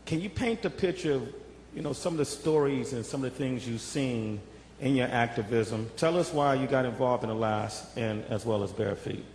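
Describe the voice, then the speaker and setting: A man's voice: medium pitch, moderate pace, darkish tone, a little hiss or hum. A man moderating a panel, asking questions in a friendly, loose way. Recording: roomy hall with long reverb.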